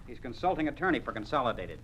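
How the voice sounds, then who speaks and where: An actor playing an officious, bullying party loyalist, pompous and barking, with an American accent in a 1950s radio drama. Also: male voice, mid-range voice, very animated, fast, a bit bright, slightly rough. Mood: contemptuous. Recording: noisy old radio recording.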